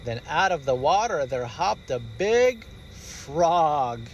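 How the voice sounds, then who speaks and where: A man reading a rhyming children's story aloud in an expressive storyteller's style. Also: a little high, slow pace, dull audio, faint music behind.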